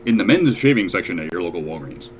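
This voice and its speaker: A man with a low voice hosts a casual podcast, walking through how-tos with dry jokes. His intonation rises and falls a lot. A faint hum sits behind him. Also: big pitch swings, fast talker, muffled recording. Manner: dry, joking, explains step by step. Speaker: podcast host.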